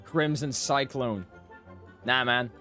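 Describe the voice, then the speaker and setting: A man's voice: medium pitch, slow, music in the background. A man giving casual, joking live commentary as a game streamer, often repeating his phrases.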